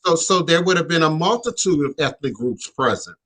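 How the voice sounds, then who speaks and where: A man's voice: on the high side, animated, fairly quick, bright, forceful tone. A man hosting a radio show, talking reflectively in casual chat with frequent stammered restarts and "you know".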